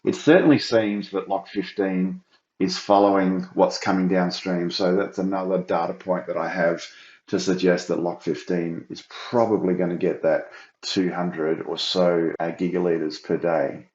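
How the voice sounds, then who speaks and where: A middle-aged man with a croaky voice, walking viewers through a flood data report on his screen with a thinking-aloud, explanatory manner. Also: deep voice, flat delivery, normal speed, darkish tone, echoey room, highs cut off. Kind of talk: screen-share walkthrough.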